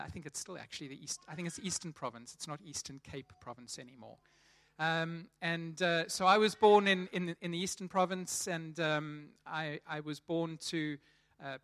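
A man's voice: a little high, sharp tone. A man in his thirties with a South African accent, giving a chatty sermon to his church and often repeating words as he restarts. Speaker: church leader preaching.

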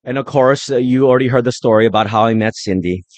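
A pastor from the Philippines giving a sermon in short phrases, telling personal stories and pausing for an interpreter. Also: male voice, mid-range voice, no echo.

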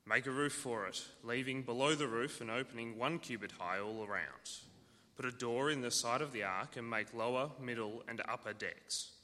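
Guy reading the bible out in church, steady, bright tone, long echo. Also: medium pitch, moderate pace. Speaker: scripture reader. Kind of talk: reading scripture aloud. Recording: church hall reverb.

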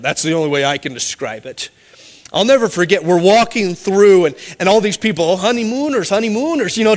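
A male pastor delivers a sermon in a noticeably high voice. He pleads earnestly with his listeners, then shifts into a story full of humorous asides.

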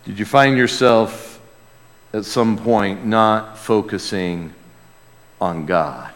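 A man preaching and praying to a congregation, earnest in manner, swelling from hushed to emphatic. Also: fairly low pitch, slow pace. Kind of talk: sermon and prayer to a congregation. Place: large church room or hall. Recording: reverberant hall sound.

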